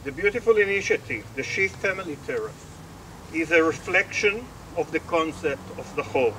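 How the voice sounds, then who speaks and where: A man speaks formally and solemnly, as an official at a commemoration. His voice sits a little high and his phrasing is deliberate. It is recorded from some way off with clear background noise. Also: lively intonation, pushed and bright, distant mic, poor audio. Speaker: embassy official giving a speech.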